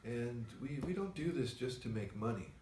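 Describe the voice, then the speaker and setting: A soft-spoken man co-hosting a casual chat in a record store, talking in a low-key, rambling way. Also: expressive, normal speed, slight background noise. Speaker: record store owner co-host. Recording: echoey store, mic at a distance.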